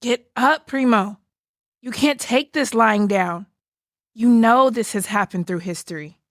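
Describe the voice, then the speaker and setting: A woman's voice: on the high side, measured pace, fairly bright tone. A woman playing a frank, concerned book puppet in a theatrical puppet show, speaking in an earnest, plain way. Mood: urging, firm. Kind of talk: theatrical puppet musical acting.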